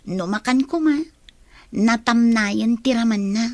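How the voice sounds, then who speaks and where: Young female student, high voice, pensive and evasive, audio drama romance role. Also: a little slow, no room sound. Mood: troubled, resigned. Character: young female student, troubled and evasive about fading love. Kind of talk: Filipino radio drama acting.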